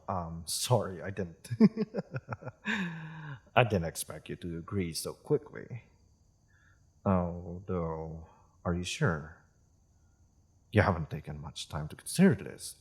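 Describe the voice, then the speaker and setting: Man voicing a husky, low, courteous half-dragon. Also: exaggerated voice. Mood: flustered surprise. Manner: measured, formal, deliberate storyteller-like phrasing. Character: polite, solemn male half-dragon seeking peace with humans. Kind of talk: fantasy audio roleplay addressed to the listener.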